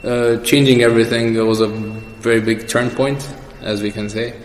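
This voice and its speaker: A man's voice: medium pitch, steady tone, normal speed. A young man answering questions as the guest in a casual personal interview. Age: young adult. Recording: echoey reverberant room with background noise.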